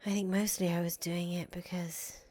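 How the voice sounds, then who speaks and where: A woman voicing an anxious, needy young woman in a visual novel, with a breathy, half-whispered delivery. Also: fairly low pitch, level tone, normal speed, dark tone, natural voice. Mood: regretful, pleading. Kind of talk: acted visual novel dialogue.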